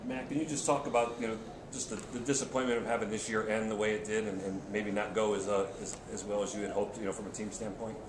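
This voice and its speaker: A man's voice: lowish voice, fairly even intonation, quick pace. A man, a reporter, asking players and coach questions at a press conference in a conversational way. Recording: echoey room, noisy.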